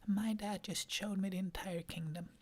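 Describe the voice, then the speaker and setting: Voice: medium pitch, steady tone, moderate pace, a little mellow, husky, pitched below the performer's own voice, close to an everyday voice. A person whispering the lines of an eager, boastful young male lion cub with a cheeky manner.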